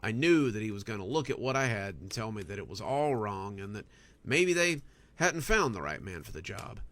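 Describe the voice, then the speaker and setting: A man reading a scripted first-person story as a narrator, with an expressive storytelling delivery. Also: fairly low pitch, moderate pace.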